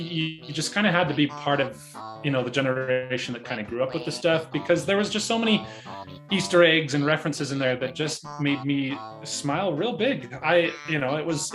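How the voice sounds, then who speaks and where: A slightly high-voiced guy on a podcast, thinking aloud in loose, halting loops while a guitar plays behind him. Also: a bit bright, low-quality recording. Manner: hesitant, rambling, self-correcting. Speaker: podcast co-host reviewing a movie. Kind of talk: casual chat review. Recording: music behind the voice.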